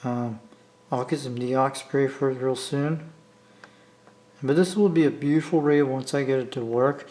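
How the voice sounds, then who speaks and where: Man, American accent, mid-range pitch, casual hobbyist vlog, rambling, halting, repetitive phrasing. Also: dark tone. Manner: rambling, halting, repeats himself. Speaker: hobbyist video maker. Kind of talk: casual unscripted vlog talk. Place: indoor room, talking from behind the camera.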